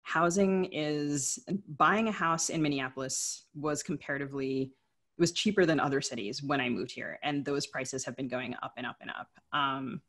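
A woman's voice: a bass voice, normal speed, fairly bright tone. A woman from the US East Coast speaking conversationally as a podcast co-host, explaining things in a discursive way. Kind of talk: conversational interview talk.

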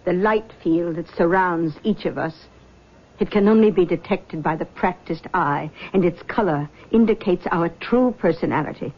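A woman's voice: lowish voice, normal speed, close to an everyday voice. An actress playing a controlling middle-aged mother who believes in auras, in a 1970s radio drama. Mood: earnest. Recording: dull old radio broadcast.

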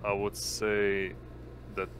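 A man improvising narration as game master of a tabletop roleplaying game, speaking haltingly in short phrases. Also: fairly low pitch, completely flat delivery, a bit bright, a little hiss or hum, poor audio. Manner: halting, pieces descriptions together in short phrases.

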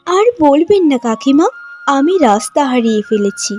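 A woman's voice: high-pitched, big pitch swings, moderate pace, warm tone, soft background music. A woman voicing a vain, haughty young bride in a cartoon, with an affected, self-admiring manner. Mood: coy, pleading. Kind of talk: cartoon voice acting.

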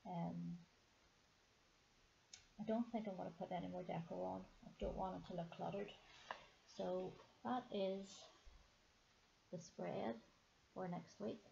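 Woman, hoarse and gravelly, mellow tone, soft musing chat, dull lo-fi camera audio. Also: lowish voice, fairly even intonation, measured pace, long pauses, slight background noise. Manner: soft-spoken, musing aloud as she works. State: hoarse from a sinus infection. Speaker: planner vlogger. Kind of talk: casual narration while decorating. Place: indoors at a table, camera pointing down at her hands.

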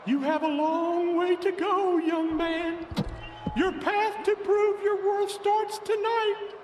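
An eerie, mocking fat man in a wrestling video game. The voice is extremely high and drawn out, theatrical and condescending, full of menace. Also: steady tone, slow, faint music behind. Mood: ominous. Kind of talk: video game cutscene acting.

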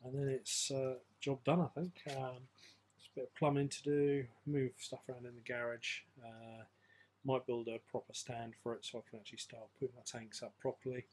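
A man's voice: mid-range voice, flat delivery, normal speed, a little mellow, a little hiss or hum. A man giving a casual, hesitant, unscripted hands-on update as a hobbyist vlogger, on an amateur phone video.

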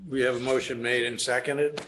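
A man chairing a town board meeting and running votes in a steady, procedural way. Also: mid-range voice, a little fast, noisy background.

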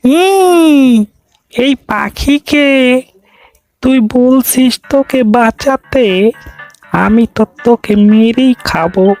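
A gloating man-eating devil from a cartoon tale. The voice is pushed high and drags each threat out very slowly, hungry and menacing, over faint music. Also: a little mellow, heavily acted. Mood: gloating menace. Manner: slow drawn-out threats.

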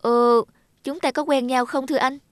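A woman voicing a kind, polite young female florist in a fairy-tale cartoon, gentle and courteous. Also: sits high, fairly even intonation, moderate pace, background noise, voice pushed up, natural voice. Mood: puzzled, surprised.

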